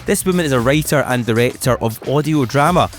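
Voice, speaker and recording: Upbeat radio host. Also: male voice, medium pitch, soft background music. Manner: upbeat and encouraging, with a quick stock of praise. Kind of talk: local radio interview.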